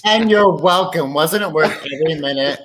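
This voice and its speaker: A man's voice: a little high, moderate pace, a crowd in the background. A man appearing as a drag queen guest, cheeky and chatty in a casual catch-up.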